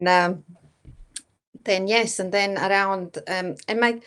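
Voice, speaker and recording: A woman's voice: medium pitch, fairly even intonation, slow, a bit bright, clear, resonant tone. A woman in her thirties from Latvia with a Latvian accent tinged with Scottish, answering interview questions hesitantly with many fillers. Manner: hesitant, many fillers, often says kinda. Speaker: guest medium.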